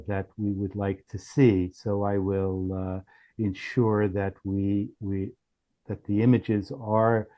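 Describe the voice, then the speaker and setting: Low-voiced man, halting product demo. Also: muffled recording. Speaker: company founder demonstrating software.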